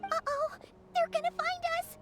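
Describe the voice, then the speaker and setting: A woman voicing a tiny, excitable, childlike companion creature in a video game, with a squeaky voice. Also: normal speed. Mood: alarmed. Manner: excitable, exclamatory. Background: loud game music.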